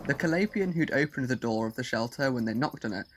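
A man with a medium-pitched voice reads scripted fiction aloud. He is the narrator of an audio story. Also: lively intonation, fast, very noisy.

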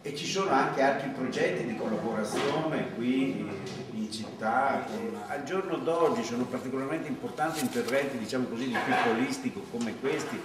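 Hesitant man in an echoey classroom. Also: medium pitch. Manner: hesitant, pausing often with fillers. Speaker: supervisor speaking at a project presentation. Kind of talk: reflective public talk. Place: large classroom with rows of chairs. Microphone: far camera. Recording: echoey room from far off, background noise.

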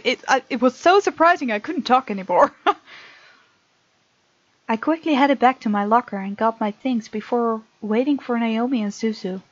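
A woman's voice: a little high, no echo, lo-fi sound. A woman doing a let's play, reading a visual novel aloud with chatty, giggly asides.

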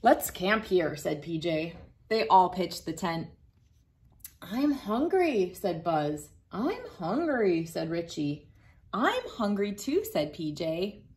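A woman in her thirties reading a picture book aloud to children, gentle and playful, laughing now and then, recorded on a phone camera indoors. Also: fairly low pitch, sing-song, takes it slowly. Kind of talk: read-aloud storytime for kids. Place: bright room indoors.

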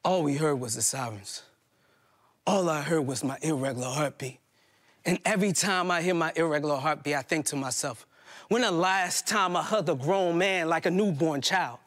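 Male spoken-word poet, thirties, high-pitched voice, emphatic and rhythmic stage delivery with dramatic pauses. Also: fairly bright tone. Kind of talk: theatrical spoken-word poetry monologue. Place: live stage in a plain hall before a small seated audience.

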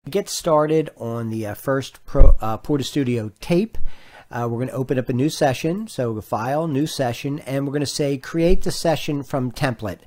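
A man's voice: mid-range voice, normal speed, a little mellow. A man narrating an instructional tutorial as its host, explaining things step by step in a plainspoken way.

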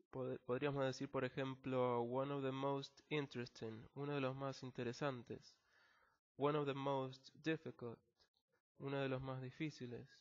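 A man with an Argentine accent, an English teacher patiently explaining a technical text word by word, with frequent fillers. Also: medium pitch. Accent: Argentine Spanish. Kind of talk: screen-recorded language lesson. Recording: slightly dull lo-fi recording.

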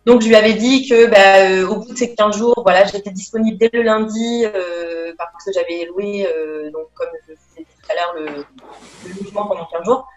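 A young woman talking over a video call, unhurried and hesitant, stopping often to restart. Her laptop mic catches a roomy echo and muffled, lo-fi sound. Faint music plays underneath. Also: medium pitch, fairly even intonation. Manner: hesitant, many fillers and restarts. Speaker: interview guest. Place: small room indoors. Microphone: laptop webcam mic on video call.

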